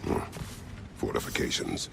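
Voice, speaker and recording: An actor playing a stern, weary warrior father in a video game, terse and gruff with a gravelly voice. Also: moderate pace, background noise, close to an everyday voice. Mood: gruff, restrained. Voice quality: very deep, gravelly.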